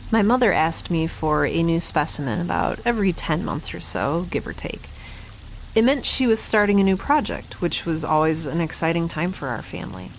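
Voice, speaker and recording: A woman playing a guilt-ridden young scientist keeping an audio log in a halting, reflective, self-questioning way, as in an audio drama. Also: lowish voice, expressive, creaky, slight background noise, rough recording. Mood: guilty, troubled. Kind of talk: audio drama monologue, introspective.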